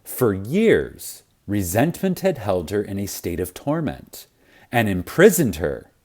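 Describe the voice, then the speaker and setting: A man reading a spiritual audiobook reverently and emphatically, with deliberate dramatic pauses. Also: lowish voice, sing-song, darkish tone. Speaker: audiobook narrator.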